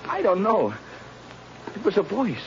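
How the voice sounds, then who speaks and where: A man's voice: high-pitched, wildly swooping pitch, unhurried, slight background noise, exaggerated voice. An actor playing a terrified young male prisoner, stammering desperate pleas in a 1930s radio drama. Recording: dull old radio recording, heard from afar.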